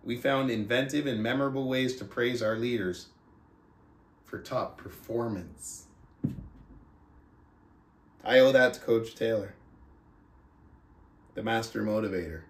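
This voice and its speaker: A man who speaks as a motivational book club host, reading aloud and coaching in an emphatic, deliberate, rhetorical manner. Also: medium pitch. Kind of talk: audiobook-style reading aloud and motivational talk. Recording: roomy, echoing sound.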